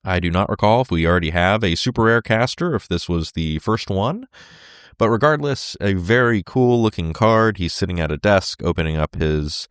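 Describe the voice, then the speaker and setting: A man hosting his solo podcast while sick, with a sore throat and a fading voice, talking casually with many fillers and throat clears. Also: low-pitched, lively intonation, moderate pace, dry recording, studio quality. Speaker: podcast host.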